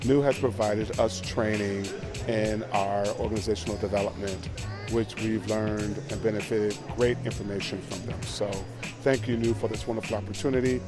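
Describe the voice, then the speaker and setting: A man with a low voice thanks his hosts in an upbeat, appreciative testimonial. Music plays clearly behind him. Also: fairly even intonation, normal speed. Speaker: nonprofit executive director. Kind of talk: short testimonial interview.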